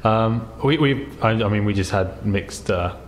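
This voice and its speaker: Hesitant man with a fairly low voice. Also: unhurried, slight background noise. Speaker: player in a tabletop game. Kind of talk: casual in-character chat.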